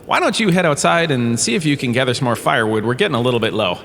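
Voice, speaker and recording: A man's voice: mid-range voice, animated, very fast, vocal fry, fairly bright tone. Man playing rambling self-important lecturer in echoing space. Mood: brisk, dismissive. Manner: rambling, breezy, self-excusing. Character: washed-up, self-important holodrama star turned galactic history lecturer. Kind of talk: comedic in-universe lecture monologue in audio drama. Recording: cavernous reverb with faint background noise.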